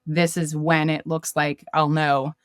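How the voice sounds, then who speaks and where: A woman's voice: low-pitched, flat delivery, measured pace, clean tone, no echo, clean studio sound. A woman speaking as a podcast guest in casual business chat, often voicing imagined quotes as she explains. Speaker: podcast guest, startup marketer.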